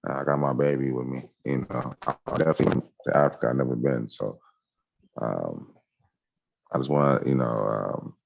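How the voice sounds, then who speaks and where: Caller, man, extremely deep and gravelly, eager and halting, muffled lo-fi audio. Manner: warm, eager, halting with fillers. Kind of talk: casual chat.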